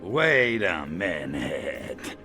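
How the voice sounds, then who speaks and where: A man voicing a cocky, wisecracking little alien invader in a video game, sardonic and slangy with a gravelly voice. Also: low-pitched, big pitch swings, extremely slow, pushed and bright, faint music behind, heavily acted. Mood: incredulous. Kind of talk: video game cutscene acting.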